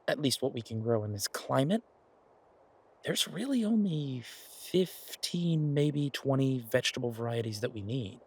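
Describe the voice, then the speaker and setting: A male actor voices a practical boyfriend in a roleplay audio drama, with a husky, mid-pitched voice. He explains things in a casual, thoughtful way, pausing as he works through his reasoning. The delivery is natural and conversational, like one side of a private talk. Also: a little mellow. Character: male werewolf boyfriend, practical restaurateur pitching a farm plan.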